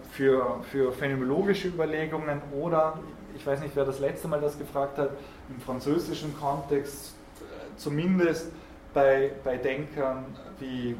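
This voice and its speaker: A man giving a university philosophy lecture, thinking aloud with frequent hesitations and repeated words, recorded by a student in a lecture hall. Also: medium pitch. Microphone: student's recorder in the room. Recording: echoey lecture hall recording with faint noise.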